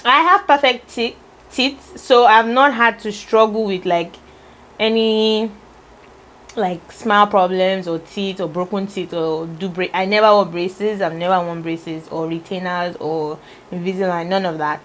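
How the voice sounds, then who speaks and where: A woman's voice: medium pitch, steady tone, fairly bright tone. A woman vlogger reading out tag questions in a casual, teasing chat with her husband. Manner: playful, teasing, drawn-out musing.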